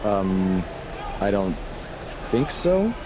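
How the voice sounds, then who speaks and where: An actor playing an earnest young wrestler in a video game, with a plain, unassuming delivery. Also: male voice, low-pitched, big pitch swings, extremely slow, crowd noise, noisy background, exaggerated voice. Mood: awkward, hesitant. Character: earnest, hesitant young male wrestler, everyman hero. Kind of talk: video game cutscene acting.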